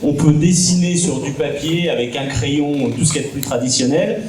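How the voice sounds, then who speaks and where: A man's voice: on the high side, moderate pace, darkish tone. A man moderating a conference panel, prompting the guest and restating his answers. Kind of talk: interviewing on a panel. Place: conference hall. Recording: echoey hall, distant mic, faint noise.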